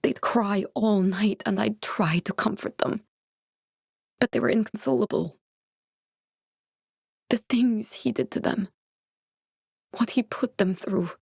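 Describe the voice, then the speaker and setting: A woman scientist in a video game, guarded and earnest. Her delivery is measured and clinical, with a dry edge. Her medium-pitched voice sits in a very dry, close recording with no room sound. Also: creaky, darkish tone, husky, dry recording, full character voice. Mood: sorrowful. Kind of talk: video game character voice acting.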